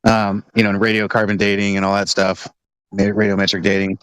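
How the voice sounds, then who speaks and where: A man's voice: lowish voice, monotone, a little fast, a bit bright, clean tone, no echo. A man in casual conversation who talks hesitantly with many fillers and swears bluntly.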